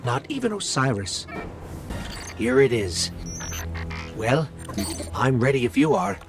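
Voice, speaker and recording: An actor voicing a friendly, wry robot companion in a video game, talking in a conversational, earnest way. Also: male voice, mid-range voice, very animated, slow, vocal fry, over music, full character voice. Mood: uneasy. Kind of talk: video game cutscene acting.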